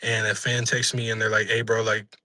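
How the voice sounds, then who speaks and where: A young man with a raspy voice, a musician being interviewed, chatting casually and earnestly in slang and profanity, often backtracking. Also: fairly low pitch, completely flat delivery, fairly quick, pushed and bright, dry recording. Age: young adult.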